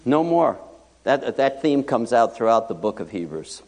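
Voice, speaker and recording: A male pastor preaching a sermon in a church, animated and joking, with sudden loud and hushed asides. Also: medium pitch, normal speed. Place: church sanctuary. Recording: long hall reverb.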